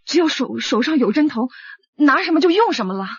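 A woman's voice: high voice, big pitch swings, a little fast, no room sound, dull audio. A woman playing an anguished, uneasy singer with an addiction in a radio drama, confiding and hesitant. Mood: uneasy confession.

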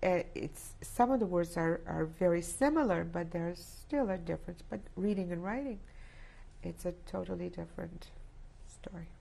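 Low, gravelly-voiced Polish-born woman. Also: dark tone. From Poland. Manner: reflective, halting, with many restarts. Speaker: interviewee. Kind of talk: oral history testimony. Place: indoor studio set with plants.

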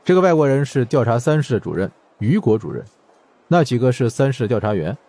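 A man voicing a brusque, world-weary department director in an audiobook, husky and curt, with a dismissive grumble. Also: medium pitch, sing-song, a little fast, dark tone, no echo, pitched above the performer's own voice. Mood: businesslike.

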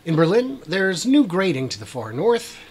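A man's voice: high voice, sing-song, moderate pace, husky. A man in his forties giving casual, wry commentary over drone footage as a YouTube host, with frequent filler words. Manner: chatty, wry asides, many "uh" fillers. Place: small room with a brick wall.

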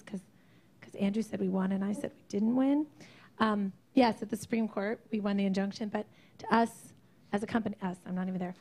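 A woman in her thirties asking a panel a question over a handheld mic in a conference room, halting and restarting often. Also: mid-range voice, gravelly, darkish tone. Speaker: audience questioner. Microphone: handheld microphone.

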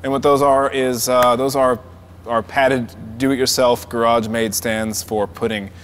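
A man's voice: medium pitch, level tone, unhurried. Laid-back man in an echoing warehouse. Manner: laid-back, plain-spoken, blunt. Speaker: company guide. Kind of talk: casual tour explanation. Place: large warehouse factory. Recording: long hall reverb, faint noise.

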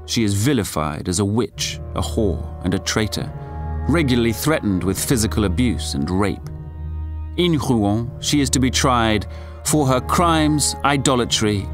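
A male actor is a grave narrator for a historical audio drama. He reads formally in a low voice, with music playing clearly behind him. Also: natural voice.

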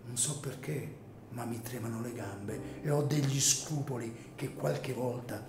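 A man's voice: medium pitch, steady tone, a little slow, echoey room, noisy background, lightly acted. A man playing an anxious, scrupulous cemetery caretaker in an Italian radio drama, agitated and hesitant. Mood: uneasy, brooding. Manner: agitated, hesitant, repeats words.

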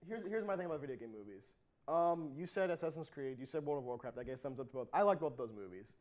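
A man in his twenties bantering and debating casually as a talk show panellist, jokey and quick to interject. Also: fairly high pitch, expressive, a little mellow, slight background noise. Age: 20s. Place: small indoor TV studio.

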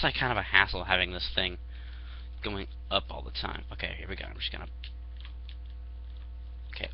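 A man's voice: low-pitched, vocal fry. A young man vlogging casually from a small room into a headset mic, rambling and hesitant with lots of fillers. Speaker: video creator. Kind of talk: casual rambling vlog to camera. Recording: dull lo-fi headset audio.

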